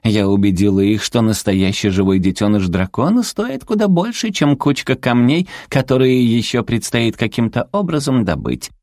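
A man's voice: medium pitch, expressive, normal speed, few pauses, darkish tone, no room sound, clean studio sound, pitched above the performer's own voice, lightly acted. A man voicing a cute, small, furry female villain in an audiobook, sweetly polite and pouty with gushing manners. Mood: chirpy, polite.

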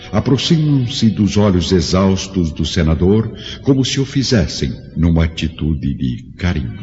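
The solemn storyteller of an ancient tragedy in a radio play. His very deep voice tells it gravely and with literary measure. Music plays clearly behind the slightly dull recording. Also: a little mellow, full character voice. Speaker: narrator. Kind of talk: scripted dramatic narration for a radio play.

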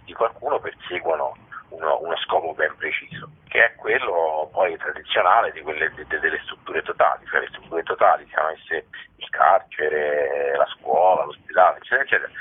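A man answering interview questions as a documentary director, explaining in a halting way, searching for words. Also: rumbling low, husky. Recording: thin, telephone-like radio line.

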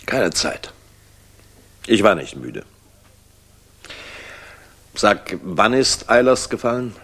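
A man's voice: on the low side, big pitch swings, takes it slowly, a bit bright, husky, heavily acted. A man acting a weary, hard-driven general in a 1960s German radio drama, terse, urgent and wry.

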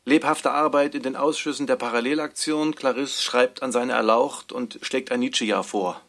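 Creaky-voiced man announcing. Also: medium pitch, steady tone, moderate pace, a bit bright. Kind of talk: scripted announcement.

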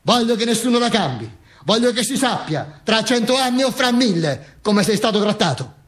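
A man's voice: high-pitched, sing-song, moderate pace, fairly bright tone, pitched above the performer's own voice. A man voicing a dictator's grieving old widow, solemn, insistent and defiant, in a stage monologue. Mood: defiant grief.

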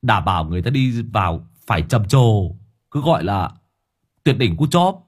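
A man's voice: fairly low pitch, very animated, moderate pace, husky. A man voicing a dim-witted, cheerful young servant who stammers out excuses. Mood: boastful.

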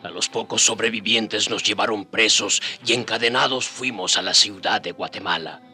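A solemn survivor narrating a radio drama. His voice is deep and forceful, with music underneath. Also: moderate pace. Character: solemn survivor recounting a town's destruction.

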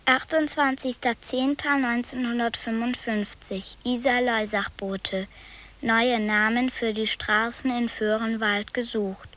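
A woman reading old newspaper reports in an even, formal tone. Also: a little high, measured pace.

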